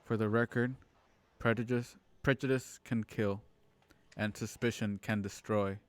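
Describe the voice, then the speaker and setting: A man playing a grave, solemn narrator of an eerie radio play, speaking deliberately. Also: fairly low pitch, steady tone, smooth, no room sound, natural voice. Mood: grave, warning.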